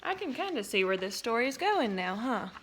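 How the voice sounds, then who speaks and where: A woman teacher reading a picture book aloud to children, expressive and playful, breaking off for little asides to her listeners. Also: on the high side, brisk, a little hiss or hum. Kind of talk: storybook read-aloud for children.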